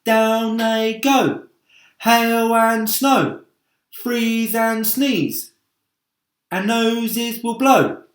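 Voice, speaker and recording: A man's voice: extremely high pitch, extremely slow, voice pushed up, heavily acted. A man voicing a wispy, bossy cloud creature from a storybook, calling out commandingly. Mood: gleeful chanting. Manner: shouting, commanding. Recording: echoey room.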